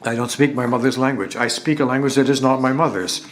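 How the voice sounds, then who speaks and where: A man giving a live interpretation of a lecture in a halting delivery, often restarting and repeating words as he goes. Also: medium pitch, fairly bright tone.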